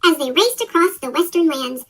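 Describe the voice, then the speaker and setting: A woman's voice: animated, moderate pace, fairly bright tone. Chipmunk-voiced woman reading a children's story. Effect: sped-up chipmunk pitch-shift voice effect. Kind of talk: scripted read-aloud narration of a children's story.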